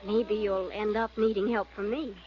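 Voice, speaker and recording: A woman's voice: mid-range voice, steady tone, moderate pace, a crowd in the background, natural voice. An actress playing a guarded, defiant woman in a 1940s radio drama. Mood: guarded, curt. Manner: cool, curt. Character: guarded, defiant woman, curtly refusing help. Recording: muffled vintage radio recording, clear background noise.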